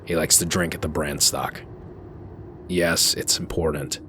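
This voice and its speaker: A man playing a gruff, commanding werewolf detective in a comic dub, curt and threatening, with a gravelly voice. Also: extremely low pitch, fairly even intonation, unhurried, sharp tone, slight background noise, exaggerated voice. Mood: brisk, commanding.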